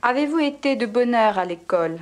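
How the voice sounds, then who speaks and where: A woman's voice: fairly high pitch, lively intonation, fairly quick. Polite, formal woman interviewer. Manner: polite, formal, clear questions.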